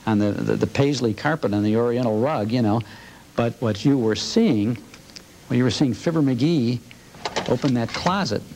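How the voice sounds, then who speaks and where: A man's voice: medium pitch, normal speed, a little mellow. An American man, a radio host, reminiscing conversationally in an interview. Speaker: old-time radio show host. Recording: noisy interview audio.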